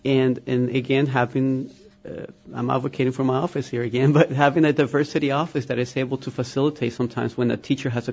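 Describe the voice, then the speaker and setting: A man's voice: medium pitch, creaky, a little mellow, highs cut off. A man from Colombia with a Spanish accent answering interview questions about schools, hesitant and full of fillers. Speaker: school diversity office head.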